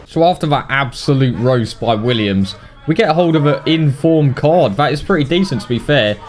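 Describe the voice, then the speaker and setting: A man's voice: mid-range voice, lively intonation, moderate pace. A British man giving casual commentary as the host of a gaming video. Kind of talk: casual game highlights commentary.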